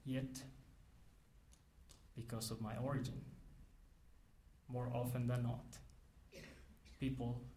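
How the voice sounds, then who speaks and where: A man from Mexico, giving an academic lecture in an earnest, measured way with frequent restarts. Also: mid-range voice, little pitch movement, dark tone, slight background noise.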